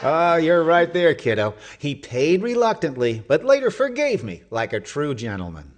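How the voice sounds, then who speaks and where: An actor playing a jovial, grumbling old husband in an old-time radio comedy, with a folksy, exclamatory manner. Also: male voice, fairly high pitch, wildly swooping pitch, normal speed. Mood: defensive, joking.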